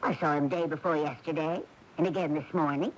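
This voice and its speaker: A woman's voice: deep voice, steady tone, brisk, natural voice. Actress as a fluttery, genteel old lady, muffled radio. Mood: mild, fluttery. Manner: prim, fluttery. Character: naive, genteel, fretful elderly sister. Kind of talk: 1980s radio drama acting. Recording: dull, hissy 1980s AM radio recording.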